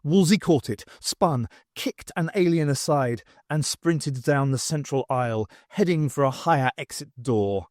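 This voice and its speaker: A man's voice: medium pitch, animated, measured pace, few pauses, a little mellow, no echo, clean studio sound. A man reading scripted story narration as the narrator of an audiobook.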